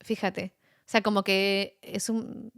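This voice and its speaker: Casting: a woman, Spaniard, chatty guest, mid pitch, dry close mic. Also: slow, vocal fry, no room sound. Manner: animated, laughs often, mimics accents. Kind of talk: casual conversation.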